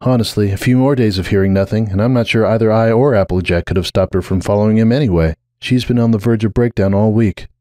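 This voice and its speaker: A man voicing a concerned but firm female unicorn pony with a frank, measured manner in a story reading. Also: on the low side, mellow, natural voice. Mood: resigned.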